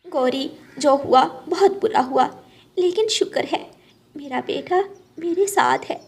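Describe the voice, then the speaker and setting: A sensible, gentle mother bird from a children's cartoon, voiced by a woman. She speaks in a fairly high voice, like someone teaching children. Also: unhurried. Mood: relieved. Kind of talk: Hindi children's moral cartoon dubbing.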